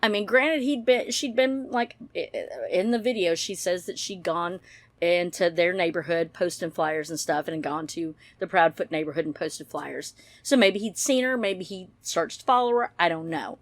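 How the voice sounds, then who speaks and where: A woman's voice: lowish voice, moderate pace, no room sound. A woman giving casual, blunt, opinionated commentary in a rambling way, with some mild swearing. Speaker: true-crime YouTube commentator.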